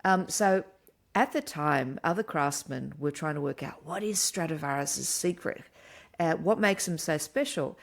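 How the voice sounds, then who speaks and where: A woman with a low voice for her sex explains a topic in a lively, chatty way. She laughs easily and keeps the tone casual and engaged. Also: measured pace, gravelly. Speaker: podcast co-host. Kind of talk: casual chat.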